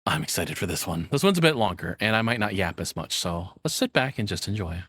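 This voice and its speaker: A man's voice: sits low, very animated, a little fast, a bit bright, husky, no echo, clean studio sound. A man giving casual, playful, tongue-in-cheek live commentary as a streamer reacting to a video.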